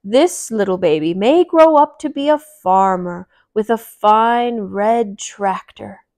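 A blustery grown-up male rabbit from a picture book acted by a woman. He disagrees loudly, slowly and with heavy emphasis. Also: mid-range voice, animated. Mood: disagreeing.

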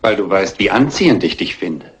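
A man's voice: low-pitched, lively intonation, a little fast. A man playing a suave, prying, short-tempered schemer in a German film dub, insinuating and needling. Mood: insinuating, irritated.

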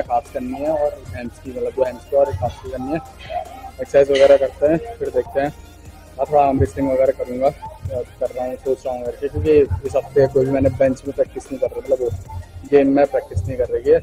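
Mellow young man, casual gym vlogger. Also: medium pitch, poor audio. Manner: slangy, joking, loosely rambling. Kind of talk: casual vlog chat, teasing banter with friends.

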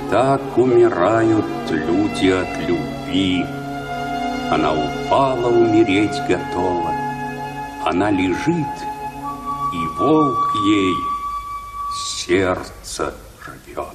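An actor playing a grave, tender old shepherd who declaims verse tales in a radio play. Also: male voice, sits low, animated, far from the mic, loud music behind the voice, full character voice. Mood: grave, dramatic. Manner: slow, declamatory storytelling.

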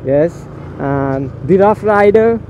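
A man's voice: sits high, animated, takes it slowly, darkish tone. A young man, a motovlogger chatting casually and rambling while riding a motorcycle through city streets. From India. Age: young adult. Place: on a motorcycle on city roads outdoors. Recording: muffled helmet mic with traffic noise.

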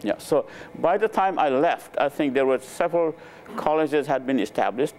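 An older man from Nepal, a native Nepali speaker, recounting his life in a relaxed, hesitant way with many fillers, on a lapel mic in a lecture room. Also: on the high side, normal speed, slight background noise. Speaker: interviewed scientist. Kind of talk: relaxed conversational storytelling. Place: lecture room with a small audience.

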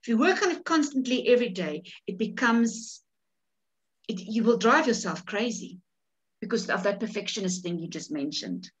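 A woman's voice: mid-range voice, lively intonation, normal speed, rough recording. A woman with a South African accent talks through a writing workshop over a webcam call. Her first language is Afrikaans, and she speaks in a chatty, looping way, restarting and repeating words as she thinks. Her voice sits in a middle range. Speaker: author leading a writing workshop. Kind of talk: casual workshop talk on a video call. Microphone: video call webcam.

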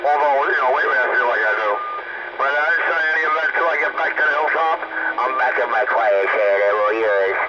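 A man's voice: mid-range voice, quick pace, lo-fi sound. A man chatting casually as a CB radio operator, profane and slangy, taunting others over the air. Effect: CB radio transmission. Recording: very noisy, band-limited CB radio signal.

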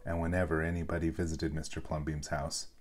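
A man's voice: rumbling low, little pitch movement, fairly quick, soft background music. A man reading a children's storybook aloud as scripted narration. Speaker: storybook reader.

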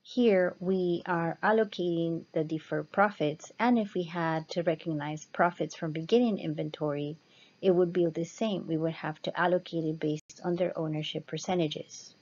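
A woman instructor explaining slides step by step in a measured lecture style. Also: fairly low pitch, steady tone, darkish tone. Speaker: accounting instructor. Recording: dull, muffled lo-fi voice-over.

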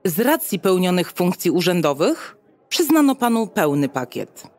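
An actress playing a brisk, officious receptionist at a futuristic state clinic, speaking in formal, bureaucratic sales patter in an audio drama. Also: female voice, fairly low pitch, sing-song, darkish tone. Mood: officious, salesy. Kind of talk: Polish audio drama acting.

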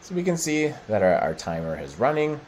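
A middle-aged American man presenting a software walkthrough, explaining step by step in short, halting phrases. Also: mid-range voice, wildly swooping pitch, slight background noise. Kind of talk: screen-share product walkthrough.

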